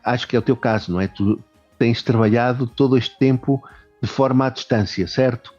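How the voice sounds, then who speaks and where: A male host asking interview questions in a measured, courteous way. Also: mid-range voice, darkish tone, lo-fi sound, soft background music.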